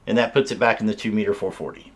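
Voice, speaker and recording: A man's voice: fairly low pitch, very fast. A man giving a casual, conversational hands-on product demonstration, explaining things step by step. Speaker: radio reviewer and host.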